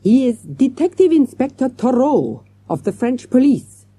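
A woman's voice: sits high, very animated, measured pace, warm tone, husky, dry recording, pitched above the performer's own voice, heavily acted. A woman voicing an anxious, furtive male French hotel manager in a children's storybook. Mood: frantic.

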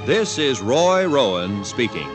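Animated male 1950s radio announcer. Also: mid-range voice, takes it slowly. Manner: polished, formal announcer delivery. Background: music.

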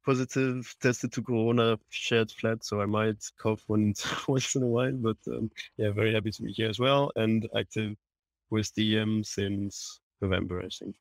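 A man's voice: on the low side, little pitch movement, moderate pace, gravelly, no room sound. A man, introducing himself casually on a video call. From France. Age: 20s. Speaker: interview guest, student. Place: indoor room, video call.

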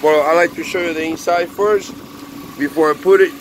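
A man's voice: fairly high pitch, steady tone, normal speed. Spanish-accented man in a noisy workshop. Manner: chatty, talks to the machine as if to a pet. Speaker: eBay parts seller. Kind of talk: casual workshop vlog. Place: cluttered workshop indoors. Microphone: phone camera held close. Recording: phone recording with clear engine noise. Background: engine and machine noise.

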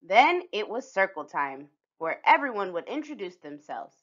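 A woman's voice: mid-range voice, very animated, moderate pace, a bit bright, lo-fi sound. A woman reading a children's picture book aloud as a scripted storyteller.

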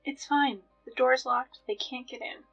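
A woman playing a calm, teasing young co-worker in an audio drama, speaking a little away from the mic. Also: high-pitched, unhurried, creaky, slightly rough, dry recording, muffled recording, soft background music, exaggerated voice. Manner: teasing and unbothered. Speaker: Hannah, announcer's co-host.

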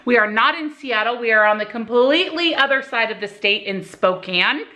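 Woman, forties, bright voice, casual friendly vlogger, home kitchen, camera close in front. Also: fairly high pitch, lively intonation, moderate pace. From United States. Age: 40s. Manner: friendly and talkative while applying makeup. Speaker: YouTuber and real estate agent. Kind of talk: casual chatty makeup tutorial and Q&A. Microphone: camera on a tripod close in front.

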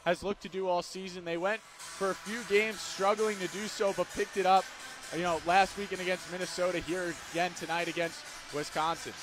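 A man giving color commentary on a hockey game in a relaxed, conversational way, with "you know" fillers. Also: sits high, fairly quick, noisy background. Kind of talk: casual game analysis.

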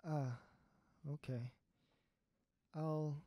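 Dry close recording, actor doing heartbroken young adult, earnest and halting, medium pitch, audio drama. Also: fairly even intonation, dark tone, no room sound. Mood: resigned. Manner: hesitant, earnest, halting confession. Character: heartbroken, lovesick young adult secretly turning into a beast.

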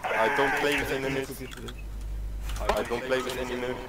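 A man's voice: mid-range voice, little pitch movement, normal speed, heavy background noise. A man taunting another player in online game voice chat over a headset, repeating barking noises at him.

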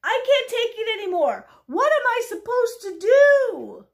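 A dramatic, possessive male pigeon acted out by a woman from a children's storybook. He stretches his words with grand emphasis and swings sharply in pitch, full of exasperation, with a creaky edge. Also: big pitch swings, darkish tone, full character voice. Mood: ranting, furious. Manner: emphatic, drawn-out, theatrical delivery. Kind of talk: children's picture-book character voice.

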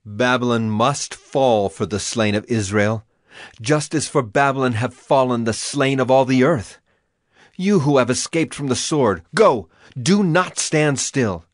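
A man's voice: mid-range voice, a little slow, dry recording, studio quality, natural voice. A man playing a solemn prophet proclaiming divine wrath in a declamatory, dramatized scripture reading.

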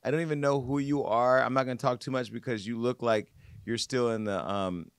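A man speaks close into a studio mic with a very dry sound and a middle-pitched voice. He hosts in a casual, slangy way, sassy and mocking as he comments on viral news. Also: steady tone, fairly quick, clean tone, dry recording. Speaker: podcast host. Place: podcast studio. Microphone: close studio microphone on desk.

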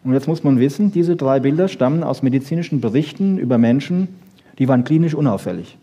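A man speaks as a lecturer explaining science to an audience without reading from a script. His voice is medium in pitch, dark and a bit husky. He makes his points emphatically, often tagging them with a short questioning check and putting rhetorical questions to his listeners. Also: expressive, fast talker. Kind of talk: popular science lecture to an audience, freely spoken.

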